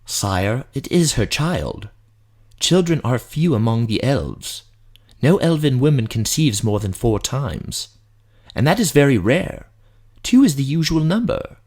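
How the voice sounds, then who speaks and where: A man voicing a servile, fearful middle-aged high elf healer who pleads deferentially in a fantasy audiobook drama. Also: sing-song, a little slow, a little mellow. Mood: fearful, pleading. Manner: sycophantic, pleading.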